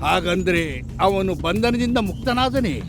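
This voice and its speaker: A man as a high-voiced, imperious jungle king. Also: animated, a little slow, music in the background. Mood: grave, concerned. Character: proud, stern tiger king of the jungle. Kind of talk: cartoon story voice acting.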